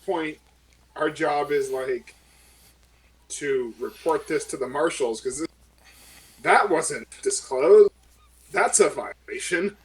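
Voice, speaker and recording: A man discussing things casually and haltingly as a player in a tabletop game. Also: fairly high pitch, far from the mic, rough recording.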